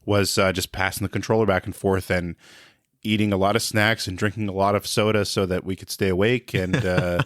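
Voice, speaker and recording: A man's voice: deep voice, level tone, a little fast, a bit bright, no echo, clean studio sound. A man in his forties reminiscing casually as a podcast guest, in a laid-back and hesitant way.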